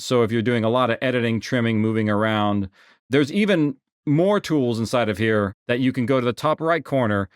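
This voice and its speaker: Casual male software instructor, dry close sound. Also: medium pitch, clear, resonant tone, no room sound. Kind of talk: casual screen-share tutorial narration.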